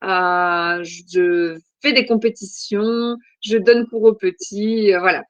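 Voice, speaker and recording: A woman's voice: mid-range voice, fairly even intonation, takes it slowly, dry recording, lo-fi sound. A woman in her forties from Belgium, a podcast guest answering questions in a thoughtful, hesitant way with many fillers. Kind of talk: casual interview answers.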